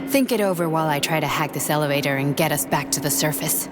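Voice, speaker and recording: A woman's voice: sits low, fast, big-room sound, noisy background, close to an everyday voice. An actress playing a blunt, cynical woman hacker with a dry delivery. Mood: cold.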